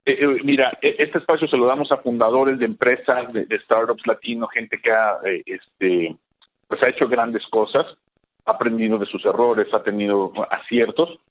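A male interview host asking questions in a hesitant, halting way. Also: mid-range voice, fairly even intonation, dry recording. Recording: dry, tinny phone-like line.

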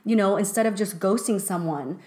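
A woman's voice: mid-range voice, brisk, a little mellow. A middle-aged woman co-hosting a podcast, talking casually with many restarts and fillers. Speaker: podcast co-host.

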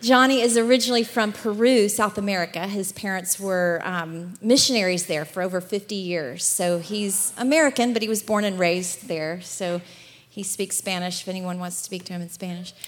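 A middle-aged woman with a Southern US accent, chatting warmly from the pulpit with frequent laughs. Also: mid-range voice, fairly even intonation, normal speed. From Alabama. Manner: chatty, upbeat, laughs often. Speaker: guest speaker. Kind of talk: church address. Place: church sanctuary. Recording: long church hall reverb.

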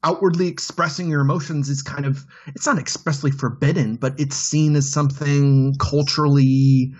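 Husky man thinking aloud haltingly. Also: fairly high pitch, little pitch movement, a little mellow, muffled recording. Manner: hesitant, many restarts, thinks aloud. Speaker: podcast panelist. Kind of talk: casual film discussion.

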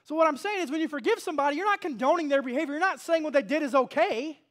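A pastor in his thirties preaching a sermon, animated, swinging from hushed to loud and calling for responses from the congregation. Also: very high voice, rapid-fire. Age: 30s.

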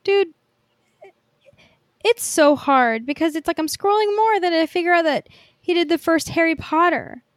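A woman's voice: extremely high pitch, normal speed, long pauses, no echo, studio quality. A woman co-hosting a podcast in casual pop-culture chat, informal and enthusiastic. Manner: informal, enthusiastic, swears freely. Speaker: podcast co-host.

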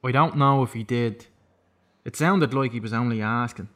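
A man's voice: mid-range voice, normal speed, natural voice. An actor playing a guarded, sardonic young man in prison, evasive and sarcastic, in a naturalistic audio drama. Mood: dry, sardonic.

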